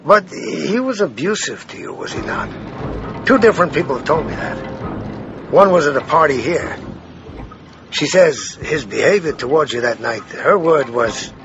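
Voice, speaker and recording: An actor playing a persistent police lieutenant in a radio play, questioning insistently. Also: male voice, a little high, sing-song, measured pace, exaggerated voice. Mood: probing. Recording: dull sound with clear background noise.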